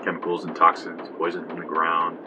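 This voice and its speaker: An actor playing a haunted, fatalistic young man telling his story gravely in an audio drama. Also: low voice, monotone, brisk, highs cut off, natural voice. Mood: bleak, ominous. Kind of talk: dramatic first-person narration, audio drama. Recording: clear ambient noise behind.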